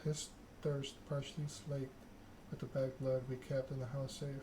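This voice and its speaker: Male ASMR game reader, measured and hushed, very even intonation, noticeably noisy recording. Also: mid-range voice. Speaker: video game player reading the story aloud. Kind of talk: ASMR game read-along, narration with dialogue.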